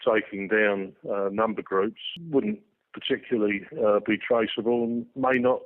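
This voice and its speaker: A man giving a spontaneous interview explanation, hesitant and halting with many filled pauses, over a tinny phone-like line. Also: on the low side. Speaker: radio enthusiast interviewee.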